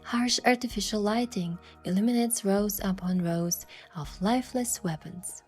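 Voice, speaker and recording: A woman playing a sincere young heroine, narrating her romance story in a soft, measured and expressive way. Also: medium pitch, breathy edge, lightly acted. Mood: reflective narration. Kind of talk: scripted first-person story narration and dialogue. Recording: music behind the voice.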